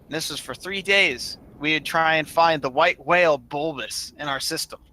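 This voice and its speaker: A man casually telling gaming stories in an animated, emphatic way, swearing freely. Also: fairly high pitch, normal speed. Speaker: online gaming group member telling stories.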